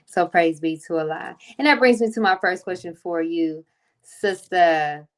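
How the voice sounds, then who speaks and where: A woman's voice: fairly low pitch, measured pace. A woman hosting a faith podcast, chatting casually and piling on repeated welcomes and praise. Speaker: podcast host.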